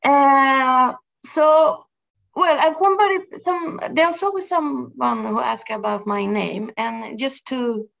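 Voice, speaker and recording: A middle-aged woman from Argentina with a Spanish accent, a gardener speaking thoughtfully to an audience, pausing and restarting often. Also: high-pitched, gravelly, a bit bright, poor audio. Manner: thoughtful, halting with frequent restarts and fillers. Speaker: gardener and project manager giving a talk. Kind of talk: personal talk to an audience.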